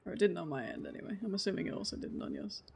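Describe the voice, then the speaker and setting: A woman streamer chatting casually while she plays, relaxed and self-deprecating, swearing now and then and laughing often, a deep voice at a desk mic set back a little. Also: rapid-fire, creaky, slightly rough. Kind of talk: casual livestream chat while playing. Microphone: desk mic moved a little further from her face.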